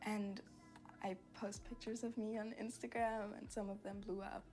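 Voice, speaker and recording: Young woman, talking soft and easy, music under her. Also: mid-range voice, flat delivery, normal speed. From Switzerland. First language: Swiss German. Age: 20s. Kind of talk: interview in a documentary.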